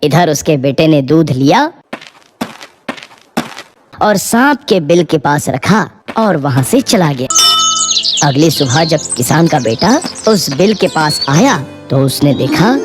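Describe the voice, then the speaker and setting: A woman's voice: deep voice, very animated, slow pace. A woman narrating a scripted moral story for children as a storyteller. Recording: music behind the voice.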